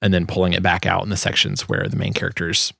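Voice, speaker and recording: A man with a fairly low voice and plenty of vocal fry talks through his work as a sound designer. His delivery is casual and explanatory, like an informal tutorial. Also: big pitch swings, fast, bright, forceful tone, dry recording, clean studio sound.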